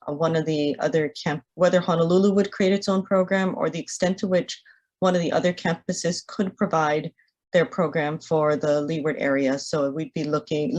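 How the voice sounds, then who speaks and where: A woman testifying at a hearing as a university official. She explains things in a measured way, with fillers along the way. Her voice is very low for a woman. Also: darkish tone.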